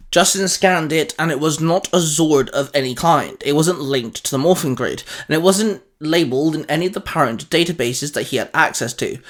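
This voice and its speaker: A man narrates a scripted story in a dramatic style. His voice sits a little higher than most men's, and he reads as a storyteller would. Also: fairly bright tone.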